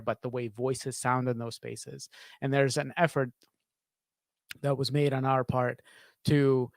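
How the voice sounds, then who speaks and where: A man in his thirties, a sound designer, explaining things step by step in a halting, thoughtful way during an interview. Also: medium pitch, dry recording, clean studio sound. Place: small home studio with acoustic panels. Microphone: close studio microphone on boom arm, headphones.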